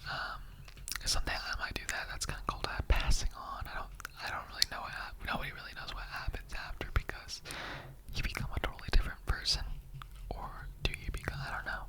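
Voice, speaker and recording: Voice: quick pace, rough recording. A performer in a whispered ASMR roleplay, voicing a casual, mildly offended skeleton with a rambling, slangy, conversational way of talking.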